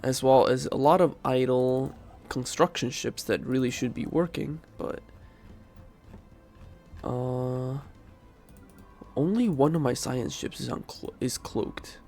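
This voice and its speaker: A man's voice: mid-range voice, takes it slowly, gravelly, long pauses, darkish tone, soft background music. A man with a stuffy nose from a cold, giving casual commentary while playing a strategy game and thinking aloud as he goes. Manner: thinking aloud, rambling, self-correcting. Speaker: game streamer.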